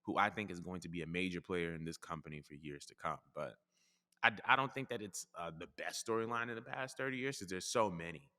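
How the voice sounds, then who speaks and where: Low bright-toned man in a reverberant room. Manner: measured, thinks aloud, hedges. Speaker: podcast host. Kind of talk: casual chat.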